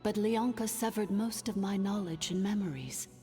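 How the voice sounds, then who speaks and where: A woman voicing a solemn, ancient artificial intelligence ruler in a video game, speaking formally and gravely in a cavernous reverb. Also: medium pitch, warm tone, lightly acted. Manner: formal, measured, grave exposition. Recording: long cavernous reverb, music underneath.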